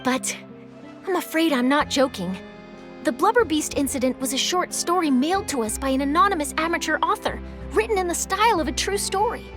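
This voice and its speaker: A woman's voice: high voice, animated, fairly quick. A woman playing a polite, well-informed young female journalist in a video game, explaining things articulately. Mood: apologetic. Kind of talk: video game character dialogue. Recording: music under the voice. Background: game background music.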